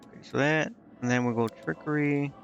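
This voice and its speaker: A man's voice: on the high side, steady tone, fairly quick, vocal fry, dull audio, soft background music. A man streaming a video game, thinking aloud in casual commentary and muttering indecisively to himself.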